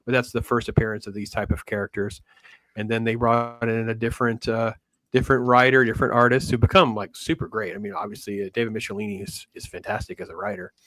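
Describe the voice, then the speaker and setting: A man's voice: mid-range voice, fast, fairly bright tone, no room sound. A middle-aged man chatting casually as a host on a livestream about comics and collecting.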